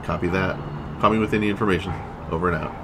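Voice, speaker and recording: A man playing a gruff, commanding checkpoint captain in an audio drama, short-tempered and curt in military phrasing. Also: deep voice, steady tone, rapid-fire, lightly acted. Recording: noisy vehicle and outdoor ambience behind.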